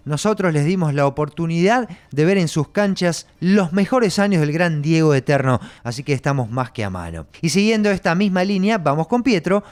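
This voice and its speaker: A man, reading upbeat scripted links that introduce segments of a TV show. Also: a little high. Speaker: show presenter.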